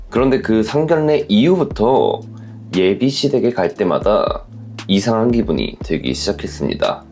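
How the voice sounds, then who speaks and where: A man narrates in a very low register with a creaky grain and lively rise and fall. He sounds earnest and polite as he gives measured, reflective commentary like a host reading listener letters. Soft instrumental music sits behind him.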